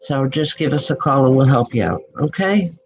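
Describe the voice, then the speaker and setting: A woman's voice: a bass voice, lively intonation, moderate pace, darkish tone, rough recording. A woman from the Northeastern US giving a live-streamed spiritual reading as a psychic medium. She has a nasal head cold and talks in a chatty, affectionate, rambling way with many fillers.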